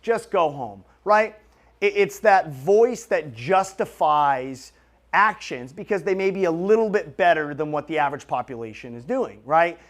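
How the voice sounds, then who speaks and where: A man's voice: on the high side, expressive, moderate pace. A man in his late thirties giving an emphatic, direct motivational monologue to his audience, coaching them like a business vlogger. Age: late 30s.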